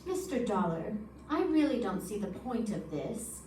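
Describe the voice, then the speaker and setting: A woman's voice: mid-range voice, big pitch swings, normal speed, mellow, slight background noise, lightly acted. A woman playing a cool, defiant widow under suspicion in an old-time radio detective drama. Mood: cool, irritated. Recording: echoey stage, distant mic.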